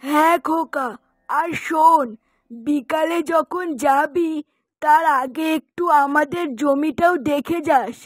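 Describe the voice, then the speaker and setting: A high-pitched cartoon mother, acted by a woman, chiding her grown son with worried complaints. The sound is dry and close, with music playing underneath. She nags like a widow left to run the household. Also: slow, no echo. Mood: instructing. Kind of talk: Bengali moral-story cartoon dubbing.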